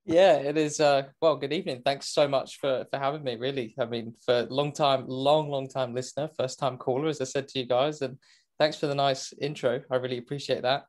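A young British man in his twenties talks casually as a podcast guest. He is chatty and self-deprecating and laughs easily. His voice sits at an ordinary middle pitch. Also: fairly even intonation, a little fast. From England. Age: 20s. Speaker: guest, motorcycle racing commentator. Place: home, remote call.

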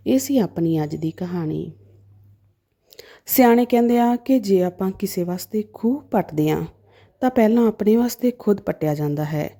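A woman's voice: mid-range voice, warm tone. A woman narrating a dramatic story in the first person as a storyteller.

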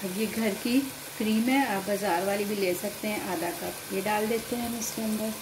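Calm woman explaining a home recipe. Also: medium pitch, normal speed, noisy background. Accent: Urdu-influenced Hindustani. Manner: calm, explanatory, addresses viewers directly. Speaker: home cooking channel host. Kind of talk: step-by-step cooking instructions. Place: home kitchen at the stove.

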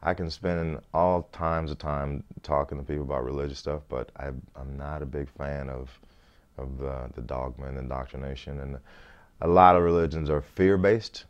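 A man in his thirties with a deep voice, a comedian chatting in an interview in a small studio, easygoing and laughing often, restarting words as he thinks. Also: steady tone, normal speed, dull audio. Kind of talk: relaxed interview chat. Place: small indoor studio set.

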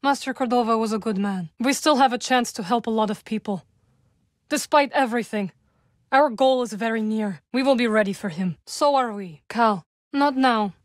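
A woman playing a solemn, guarded young witch in a video game, with dry wit and measured, formal phrasing. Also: fairly high pitch, no echo, lightly acted. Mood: somber, gentle. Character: young adult witch, solemn, guarded, dryly wry rebel. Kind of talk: video game character dialogue.

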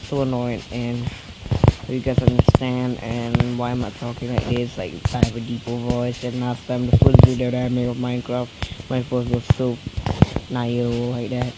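A teenage boy talks his way through a mobile game in a casual, rambling way, with a mid-range voice. His voice sounds weak and a little worn, as if he is still getting over being sick. Loud hiss and noise sit under the recording. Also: mid-range voice, monotone, normal speed. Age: teens, fourteen. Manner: rambling, chatty, many restarts. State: weak voice from recent illness. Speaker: gameplay commentator. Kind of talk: casual let's-play commentary.

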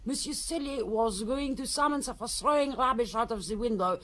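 A woman's voice: a little high, fairly quick, a bit bright. A woman playing a harried, blunt Polish village mother in a radio drama, hesitant in her answers. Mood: evasive, blunt.

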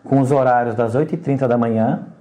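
A middle-aged man answers as an interviewed official. His medium-pitched voice is dark and relaxed, and he often tags his phrases with a short checking question. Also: fast. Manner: frequent "né" tags. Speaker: traffic agency official being interviewed. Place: indoors at radio station.

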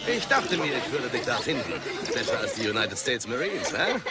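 An actor playing a hearty, loyal sidekick in a dubbed film, emphatic and warm in manner. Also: male voice, medium pitch, very animated, moderate pace, over a noisy crowd, natural voice. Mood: consoling. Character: hearty, loyal friend of the adventurer. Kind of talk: dubbed 1980s film dialogue. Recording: noisy film soundtrack ambience.

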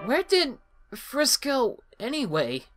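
A woman's voice: sits high, wildly swooping pitch, slow pace, full character voice. Casting: woman as theatrical boyish skeleton hero, eager and caring, wildly swooping delivery, music underneath. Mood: worried, gentle. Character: excitable, childlike, caring male skeleton hero. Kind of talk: fan comic dub acting. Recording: music behind the voice.

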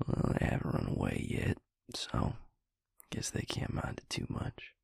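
A man's voice: a little slow, a little mellow, no echo, close to an everyday voice. A man playing an easygoing, teasing vampire with a Southern US accent and a dry drawl in an audio roleplay. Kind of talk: intimate audio roleplay acting.

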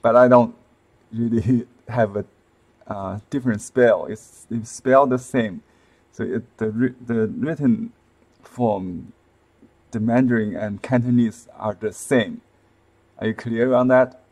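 A man in his fifties with a Chinese accent, explaining calligraphy on a live stream from a desk webcam, softly and with many fillers and restarts. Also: medium pitch, slow. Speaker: calligraphy and seal-carving teacher. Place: indoor room with shelves, at a desk.

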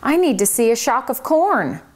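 A gentle, homesick male cricket who speaks softly and wistfully, voiced by a woman reading a children's book aloud. His tone is mild and unhurried. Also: fairly high pitch, very animated, pitched above the performer's own voice.

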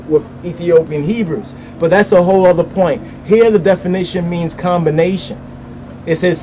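A man with a mellow, somewhat high voice leads a casual bible study lesson. He sounds out words slowly, repeats them several times, and keeps asking whether the listener understands. There is a faint hum behind him. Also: rough recording. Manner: keeps asking "you understand?" after each point. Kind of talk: informal religious language lesson. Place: voice-over on slides.

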